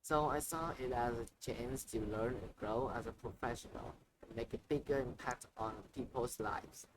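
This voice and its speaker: An earnest male healthcare worker, played by a man, measured and sincere. His pitch sits in the ordinary middle range. Background noise hisses steadily under the voice. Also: lightly acted. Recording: noisy ambient background.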